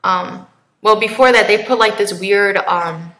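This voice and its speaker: A young woman in her twenties chats to her camera and rambles through a personal story, full of fillers and asides. Her voice is bright and forward, and the room gives it a noticeable echo. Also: mid-range voice, unhurried. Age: 20s. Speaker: vlogger. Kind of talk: casual talk to camera.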